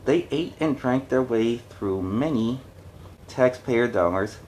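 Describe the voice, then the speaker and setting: A man's voice: medium pitch, animated, takes it slowly, darkish tone, low-quality recording. A man reading a storybook aloud as host, adding conversational, explanatory asides in a casual style.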